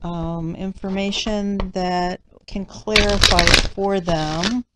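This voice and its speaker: A woman giving a tarot reading in measured, deliberate phrases with pauses, her voice slightly nasal. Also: mid-range voice, fairly even intonation, very noisy. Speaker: tarot reader and channel host. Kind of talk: slow, deliberate tarot reading, part read aloud from cards.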